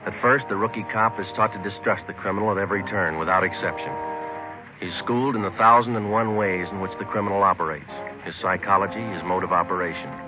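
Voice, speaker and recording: An actor playing an earnest, weary American police sergeant in a 1950s radio drama, speaking in a clipped, matter-of-fact way. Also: male voice, lowish voice, lightly acted. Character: earnest, weary male police detective sergeant narrating his case. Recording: lo-fi old radio recording with music.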